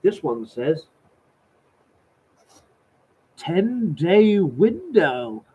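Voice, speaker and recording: A man's voice: high voice, very animated, drawn-out words, husky. A man with a cold and a slightly nasal voice, sarcastically commenting as he reads out a letter. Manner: exasperated, sarcastic, swears. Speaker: vlogger reading out a letter. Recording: tinny phone-like audio.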